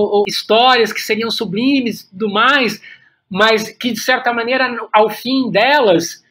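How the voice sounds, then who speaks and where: A man's voice: high-pitched, fairly bright tone. A man with a Brazilian accent talking reflectively as an interview guest, repeating words as he thinks aloud. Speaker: guest playwright.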